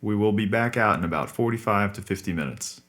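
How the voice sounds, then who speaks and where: Man acting low-voiced, courteous psychotherapist. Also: lively intonation, fairly bright tone. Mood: polite, welcoming. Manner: measured, formal, explanatory. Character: calm, courteous male psychotherapist, patient and formal. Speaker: actor in a scripted podcast drama. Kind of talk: naturalistic audio drama acting.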